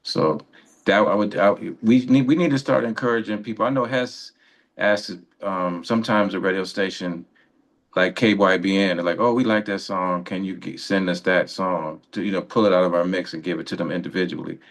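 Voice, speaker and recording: A man's voice: low-pitched, fairly even intonation, moderate pace. A man co-hosting a radio show, explaining things in a casual, conversational way.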